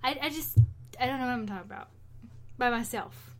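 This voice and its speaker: A woman's voice: a little high, fairly quick, fairly bright tone, slight background noise. A woman co-hosting a podcast, chatting casually and retelling stories in an informal, rambling, conversational way. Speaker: podcast co-host.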